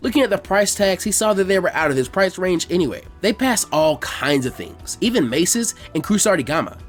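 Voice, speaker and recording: Guy reading fanfic, expressive, pitch moves around a lot, soft music behind. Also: a little fast. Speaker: fan fiction narrator. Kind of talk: expressive scripted story narration.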